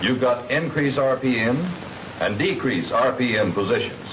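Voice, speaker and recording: A man's voice: mid-range voice, normal speed, natural voice. A man playing a confident American Army captain, plainly and methodically instructing pilots in the style of a 1940s training film. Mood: matter-of-fact. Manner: plain-spoken, methodical explaining. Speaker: flight instructor, military captain. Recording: noisy, dull 1940s film soundtrack.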